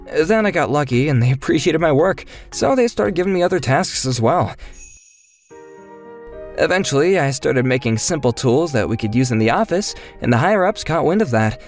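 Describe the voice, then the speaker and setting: A man plays a modest young office employee telling his story in a manga dub. His voice is fairly high and lively, with an earnest, mild manner and faint music behind it. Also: moderate pace. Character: modest, good-natured young male company employee, story's narrator. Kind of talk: manga dub narration and dialogue.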